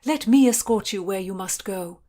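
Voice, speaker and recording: A woman voicing a stern male soldier of the queen's guard giving loud, commanding orders. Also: medium pitch, normal speed, natural voice.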